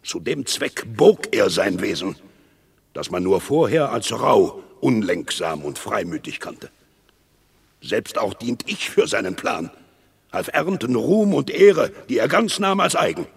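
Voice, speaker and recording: A gravelly, bitter scheming general. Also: male voice, lowish voice, sing-song, measured pace, heavily acted. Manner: bitter, accusing verse delivery. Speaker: actor in radio play. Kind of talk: classical verse drama, radio play.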